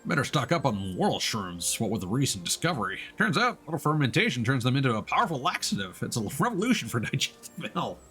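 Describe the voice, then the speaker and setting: A man's voice: mid-range voice, sing-song, quick pace, hardly any pauses, bright, forceful tone. A man voicing chatty, dramatic townsfolk from a fantasy video game, reading their dialogue aloud with exaggerated delivery. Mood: gossipy. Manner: theatrical, exaggerated. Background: video game music.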